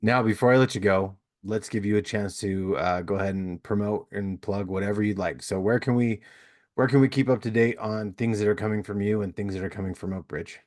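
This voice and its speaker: A man with a fairly low voice hosts a casual podcast. He speaks in a folksy, courteous way, as if chatting with a guest and listeners. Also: fairly quick, a little mellow. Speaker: podcast host. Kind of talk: casual podcast interview and plugs.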